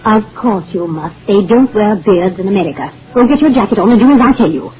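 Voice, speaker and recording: Casting: actress as middle-aged domineering wife, 1940s radio play, nagging, wildly swooping intonation, thin phone-like sound. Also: female voice, medium pitch, expressive, a little fast, background noise, natural voice. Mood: bossy, exasperated. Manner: domineering, nagging, sharp-tongued. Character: bossy, scolding middle-aged wife of a meek professor. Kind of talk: 1940s radio drama acting. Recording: muffled, phone-like old radio broadcast.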